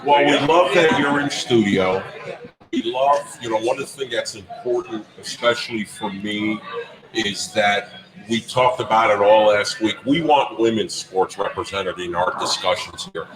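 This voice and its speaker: A man's voice: on the low side, measured pace. The emphatic guy from North Jersey running a live sports show out of a busy, echoey restaurant, bantering with his guests. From North Jersey, United States. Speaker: sports talk show host. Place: live broadcast from a grill restaurant. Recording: roomy sound with crowd chatter behind.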